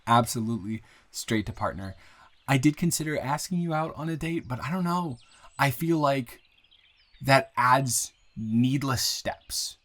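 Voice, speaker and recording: A man playing an earnest, grateful young man shyly confessing love to a friend in an intimate, halting, improvised audio roleplay. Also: medium pitch, expressive. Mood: hopeful, nervous. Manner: halting, rambling, earnest, breaking into soft laughs.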